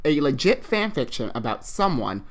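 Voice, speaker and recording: Man, mid-range pitch, online host, slangy, irreverent, giggly, casual dramatic reading. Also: big pitch swings, normal speed, a little hiss or hum. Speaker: online video host and fan fiction reader.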